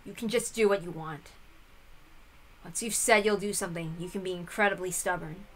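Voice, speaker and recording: A woman's voice: lowish voice, steady tone, fairly quick, close to an everyday voice, rough recording. Woman as a sullen, blunt teenage servant boy. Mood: resigned, irritated. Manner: blunt, dismissive. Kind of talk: visual novel read-aloud character voice.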